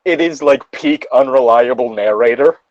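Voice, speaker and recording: A man's voice: mid-range voice, normal speed, dry recording, muffled recording. A man co-hosting a casual comic read-aloud, swearing freely and commenting in an incredulous, easily amused way. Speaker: podcast co-host reacting to a story.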